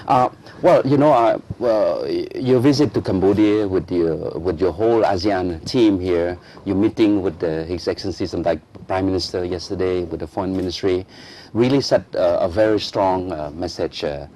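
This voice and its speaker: A man hosting a television interview, formal but halting, with many fillers and frequent affirming yeses. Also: deep voice, warm tone, slight background noise. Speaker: television talk show host.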